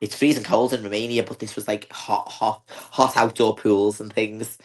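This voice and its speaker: A woman's voice: rumbling low, steady tone, moderate pace. A woman with a Liverpool accent, chatting as a guest in an interview and filling her talk with "like". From north of England. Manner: chatty, many fillers like "like". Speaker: bodybuilder guest. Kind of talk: casual interview chat.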